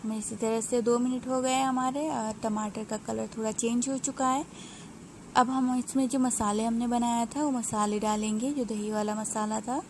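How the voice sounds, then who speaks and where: Unhurried woman narrating a home recipe. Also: on the high side, fairly even intonation, a little hiss or hum. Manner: unhurried, explanatory, frequent hesitations. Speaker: home cook. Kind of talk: step-by-step cooking voice-over.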